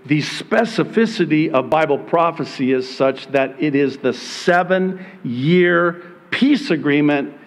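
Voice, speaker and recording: A middle-aged man whose first language is Arabic, preaching on prophecy with slow, deliberate emphasis and dramatic rises when quoting scripture. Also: on the high side, long reverb tail. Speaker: pastor. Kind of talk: prophecy sermon.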